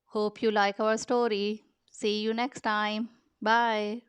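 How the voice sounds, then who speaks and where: A woman reading a children's picture book aloud with measured, expressive storytelling delivery. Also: mid-range voice, soft background music. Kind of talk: storybook read-aloud narration.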